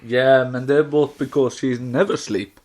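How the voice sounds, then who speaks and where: Slow, halting Swedish-speaking male podcast co-host. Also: mid-range voice, fairly even intonation, darkish tone. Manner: halting, non-native English with unidiomatic grammar. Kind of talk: casual chat.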